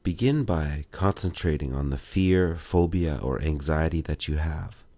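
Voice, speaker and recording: A man with a low voice leads a guided relaxation exercise. He speaks very slowly, in short instructional phrases with pauses between them. The sound is dry and close, with no room reverb. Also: dark tone. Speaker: meditation and self-massage instructor.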